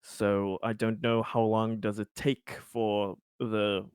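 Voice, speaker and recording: A man's voice: on the low side, little pitch movement, darkish tone, clean tone, dry recording, clean studio sound. Stammering man playing a game. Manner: halting, stammers and restarts. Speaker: player. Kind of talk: casual game chat.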